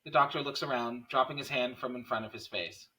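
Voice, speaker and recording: A man's voice: mid-range voice, steady tone, brisk, bright, forceful tone, low-quality recording. A man reading scene headings and stage directions as narrator at a script table read.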